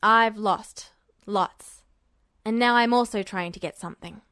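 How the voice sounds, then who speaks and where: A woman voicing a pensive, cryptic teenage boy soldier in a story, speaking in cold, deliberate, clipped phrases. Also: mid-range voice, a bit bright, clear, resonant tone, dry recording, clean studio sound, close to an everyday voice. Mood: grave, intense. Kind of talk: audiobook character dialogue.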